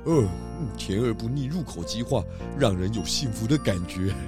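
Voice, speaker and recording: A performer voicing a calm, patient pig detective in a children's radio drama. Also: mid-range voice, moderate pace, close to an everyday voice. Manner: warm, patient, reassuring. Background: background music.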